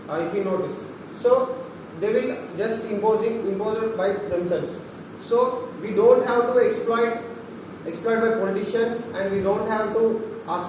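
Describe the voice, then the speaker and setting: A young man with a Telugu-Indian accent gives a halting seminar talk, full of restarts and fillers. His voice sits high for a man. He is picked up from far away in a very echoey, noisy room. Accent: Indian English, Telugu speaker. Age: young adult. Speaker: philosophy student presenting at a seminar.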